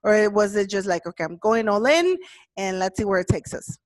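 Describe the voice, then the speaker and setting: A woman's voice: mid-range voice, expressive, brisk. A woman hosting a casual interview, asking friendly questions with many hesitations and ums. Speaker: host.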